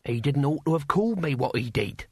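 A gruff old barbarian acted by a male narrator from a comic fantasy audiobook. He talks bluntly and haltingly, grudgingly polite, in a very dry recording. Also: fairly high pitch, expressive, no echo. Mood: sullen. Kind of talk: audiobook character acting.

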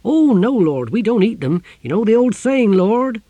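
Male sea captain, acted by a narrator: loud, raised pitch, chatty, deferential, nervously eager storybook role. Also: sing-song, moderate pace, no echo. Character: bluff, talkative, deferential male ship's captain.

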